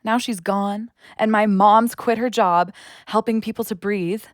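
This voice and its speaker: A woman's voice: medium pitch, fairly even intonation, measured pace, dry recording, clean studio sound, natural voice. A woman playing an earnest, wounded young woman who is friendly and seeks connection, confiding in an audio drama. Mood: confiding, sad.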